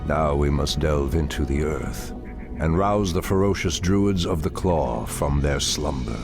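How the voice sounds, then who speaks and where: An actor playing an ancient night elf archdruid in a fantasy game, with grave, formal, commanding speech. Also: male voice, unhurried, heavily acted. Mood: solemn, commanding. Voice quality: very deep, gravelly. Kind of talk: dramatic fantasy game acting. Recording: orchestral game music behind voice. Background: loud music.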